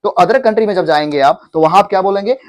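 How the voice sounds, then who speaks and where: High-voiced teacher drilling English phrases. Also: male voice. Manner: repeats phrases slowly for students to echo. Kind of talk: classroom English lesson in Hindi. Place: classroom.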